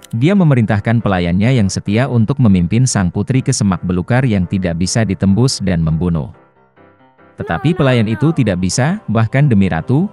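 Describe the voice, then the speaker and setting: A man's voice: lowish voice, warm tone. A man reading scripted fairy-tale narration for children as a storyteller. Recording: music behind the voice. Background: background music.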